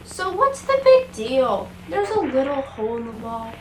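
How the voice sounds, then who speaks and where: Woman doing a demanding theatrical diva queen, high swoopy voice, echoey room, old radio play. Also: big pitch swings, moderate pace, a little hiss or hum. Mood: exasperated. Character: impatient, demanding actress playing a visiting queen. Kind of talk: 1940s radio play acting.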